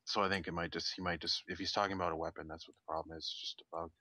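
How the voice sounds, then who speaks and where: A man's voice: sits low, flat delivery, rapid-fire, pushed and bright, no echo. A man on a podcast panel talking casually through technical details.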